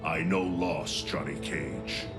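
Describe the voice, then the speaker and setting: An actor playing a grim, solemn thunder god in a video game, speaking in a grave, formal, portentous way. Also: male voice, a bass voice, slow. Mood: wounded, stern. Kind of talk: cinematic video game acting. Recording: loud music behind the voice.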